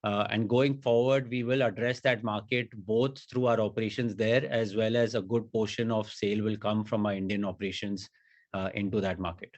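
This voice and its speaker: A man speaking as a company executive, answering questions on an earnings call in a measured, halting way with repeated words. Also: lowish voice, little pitch movement, highs cut off.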